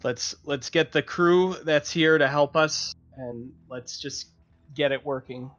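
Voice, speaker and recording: Higher-pitched man chatting at a game. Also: slow, fairly bright tone. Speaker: tabletop roleplaying game player. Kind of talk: casual game-table chat. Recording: music under the voice.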